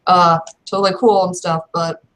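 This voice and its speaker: A woman's voice: lowish voice, flat delivery, a bit bright, natural voice. Woman playing a flustered, stammering young woman. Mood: awkward, evasive. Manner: halting, stammering, slangy. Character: awkward, evasive young woman, flustered werewolf student.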